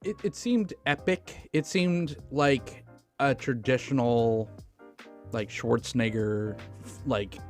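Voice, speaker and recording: A man's voice: medium pitch, slow. Slangy man chatting over faint music. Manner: informal, slangy. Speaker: film podcast co-host. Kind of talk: casual film discussion.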